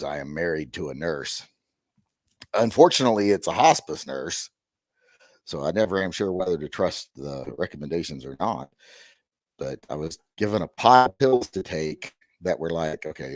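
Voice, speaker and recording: A middle-aged man with a hoarse, gravelly voice hosting a rambling live-stream chat with wry, self-deprecating asides. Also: a bass voice, dry recording. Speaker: host.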